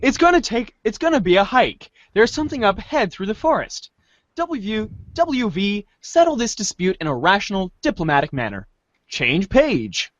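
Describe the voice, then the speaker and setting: A man narrates and hosts an amateur readers' theater with a lively, swinging pitch. The sound is dry and a little muffled. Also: moderate pace, no room sound. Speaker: host and narrator.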